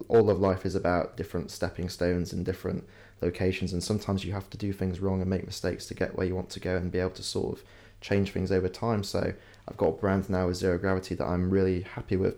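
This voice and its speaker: A man's voice: deep voice, creaky, dark tone. A young man, a guest entrepreneur, giving reflective interview answers, often hedging with "you know" and "sort of".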